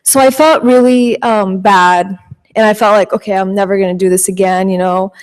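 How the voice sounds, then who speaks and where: A teenage girl telling a personal story on stage, hesitant and filling pauses with ums. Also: mid-range voice, steady tone. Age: teens. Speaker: student panelist. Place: large auditorium stage. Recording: long hall reverb.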